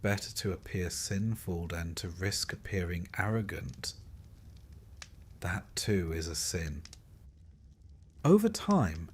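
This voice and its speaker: A man with a fairly low voice narrates a history bedtime story in the second person. He tells it in measured phrases, with dry and understated wit. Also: sing-song, darkish tone, a little hiss or hum.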